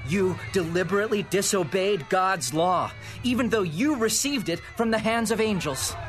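A fervent young preacher, speaking with a fairly high, urgent voice that climbs into passionate rebuke. He is unyielding and impassioned, heard over a clear music score in an audio drama. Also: animated, normal speed. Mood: accusing. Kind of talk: dramatic Bible reading.

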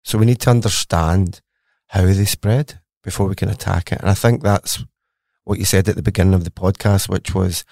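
A man's voice: low-pitched, fairly even intonation, normal speed, creaky, a little mellow, no echo, studio quality. A middle-aged man with a Scottish accent, a scientist guest in a podcast interview, telling his story eagerly and conversationally. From Scotland.

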